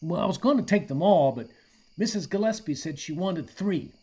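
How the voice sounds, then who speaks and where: A man playing a friendly, laid-back dude with breezy slang in a radio play, his voice husky. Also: high-pitched, animated, moderate pace, a little mellow. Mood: casual, breezy.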